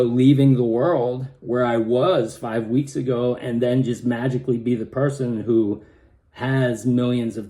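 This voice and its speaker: A man with a slightly raspy, hoarse voice talking casually in a personal vlog, with many halting restarts. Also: medium pitch, dark tone. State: hoarse from pollen. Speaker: vlogger.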